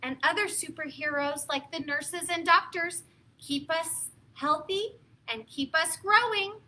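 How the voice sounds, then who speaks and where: A woman with a high, very bright voice speaks to small children as a story time host. She is expressive and encouraging, keeping her phrases simple as she reads and chats. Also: measured pace. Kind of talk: read-aloud and talk to young children.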